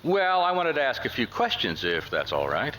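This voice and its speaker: An actor with an American accent playing a wry, put-upon 1950s private detective in a radio drama, plain-spoken and a little hesitant. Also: male voice, mid-range voice, huge pitch swings, normal speed, bright, forceful tone, slight background noise, rough recording. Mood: tentative, probing. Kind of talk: radio drama acting with first-person detective narration.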